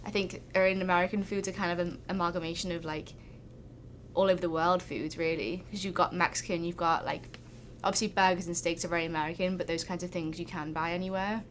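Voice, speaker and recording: A young Englishwoman answers questions in a relaxed, meandering way, her sentences full of small fillers. Her voice sits a little low for a woman. A faint background hum runs behind her. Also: quick pace. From England. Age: young adult. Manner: relaxed, rambling, lots of "like". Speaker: international student interviewee. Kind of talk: casual interview answers.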